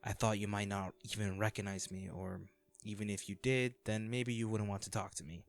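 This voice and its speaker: A man acting in an audio roleplay as an anxious, self-deprecating young man, a caring old crush who talks haltingly and intimately to the listener. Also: lowish voice, level tone, faint music behind, lightly acted. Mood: soft, wistful. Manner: hesitant, halting, sighing.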